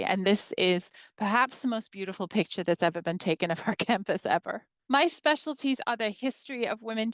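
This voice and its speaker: A woman in her fifties from northern England, a history professor giving a measured workshop presentation to educators and reading her slides aloud. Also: medium pitch, fairly bright tone, smooth, no echo.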